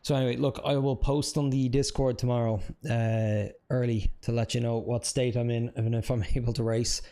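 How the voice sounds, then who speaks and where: Young man, laid-back streamer, chatty and self-deprecating, feeling rough. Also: medium pitch, level tone, moderate pace, dark tone. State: feeling unwell. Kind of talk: casual live game commentary.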